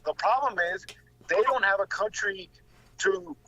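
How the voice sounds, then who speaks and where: A man giving casual political commentary as a guest, blunt and profane, halting and repeating phrases, over lo-fi call audio. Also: high-pitched, expressive, vocal fry, bright, forceful tone.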